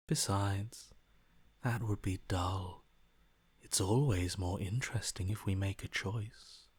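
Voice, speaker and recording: A man playing a cold, detached inner voice in a video game, speaking in a deadpan, unhurried way. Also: lowish voice, breathy edge, dry recording. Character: cold, detached male inner voice, bored by death.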